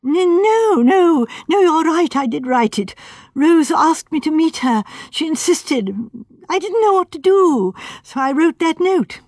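A woman's voice: lively intonation, a little slow, a little mellow, no echo. A woman voicing a nervous, distraught young male architect who stammers and pleads. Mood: flustered. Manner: stammering, high-strung, pleading.

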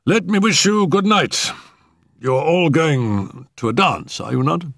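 Casting: genteel elderly uncle, acted by a male reader, pitched up, formal, brisk, a touch fussy, storybook. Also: lively intonation, gravelly, a bit bright, dry recording, studio quality, full character voice. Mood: courteous. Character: courteous, upper-class older gentleman, a fussy uncle.

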